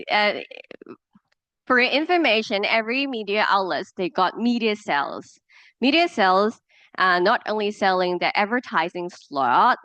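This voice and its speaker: A woman's voice: medium pitch, bright, forceful tone, no echo. A woman with a Hong Kong accent, a native Cantonese speaker, giving a classroom presentation and explaining with examples, with many fillers and restarts. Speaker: lecturer.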